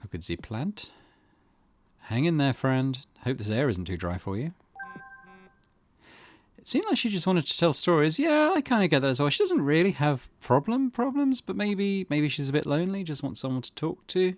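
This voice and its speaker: A man's voice: fairly high pitch, sing-song, normal speed, no echo. A man giving casual let's play commentary as a gaming host, musing sympathetically.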